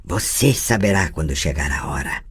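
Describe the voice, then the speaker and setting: A man's voice: very deep voice, animated, normal speed, a bit bright, slightly rough, voice pushed down, exaggerated voice. A man reading an audiobook and voicing a cold, metallic, inhuman presence that speaks with cruel scorn. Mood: menacing. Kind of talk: audiobook character voice.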